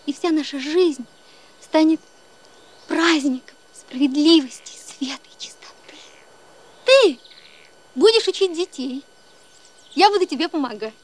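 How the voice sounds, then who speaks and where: An actress playing a loving young wife who pleads with her husband earnestly and emotionally in a film. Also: sits high.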